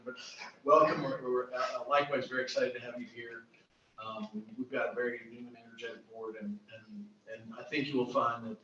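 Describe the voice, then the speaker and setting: A man's voice: mid-range voice, a bit bright, a little hiss or hum. A man chairing a board meeting, welcoming members with joking remarks and many "uh" fillers. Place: meeting room. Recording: echoey room, distant, muffled mic.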